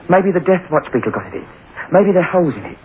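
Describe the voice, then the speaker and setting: An actor playing a desperate, guilt-ridden young thief in an anguished, brooding old-time radio style. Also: male voice, high-pitched, lively intonation, quick pace, a little hiss or hum. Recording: narrow-band old radio recording, loud music.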